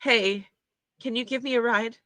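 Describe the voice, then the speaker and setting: A woman's voice: fairly high pitch, steady tone, moderate pace, a bit bright, dry recording, close to an everyday voice. A woman playing a young student daughter facing grief in a table read. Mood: shocked, urgent.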